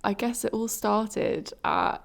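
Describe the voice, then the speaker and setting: A woman's voice: on the high side, steady tone, takes it slowly, vocal fry. A woman co-hosting a podcast with a slightly phlegmy, under-the-weather voice, telling personal stories in a casual, candid, self-deprecating way. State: slightly ill, phlegmy throat. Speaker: podcast co-host. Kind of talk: casual confessional storytelling and chat.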